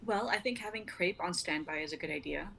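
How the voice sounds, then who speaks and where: A woman's voice: fairly low pitch, a little fast, vocal fry, pushed and bright, slight background noise. A woman playing an earnest, insistent tech officer in a dramatic sci-fi script reading. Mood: measured.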